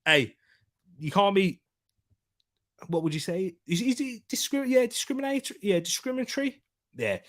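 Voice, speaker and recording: A man's voice: high-pitched, very animated, moderate pace, pauses often, a bit bright. A man hosting a casual football preview live stream, chatting loosely and reading out and joking with viewer comments. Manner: chatty, reads out viewer comments, jokey banter. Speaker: football preview show host.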